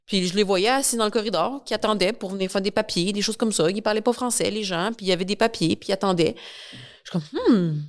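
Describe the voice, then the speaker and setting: A woman's voice: medium pitch, quick pace. A woman with a Quebec French accent answering as a guest in a conversational podcast interview, often repeating words as she searches for phrasing. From Quebec, Canada. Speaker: interview guest, newcomer reception coordinator.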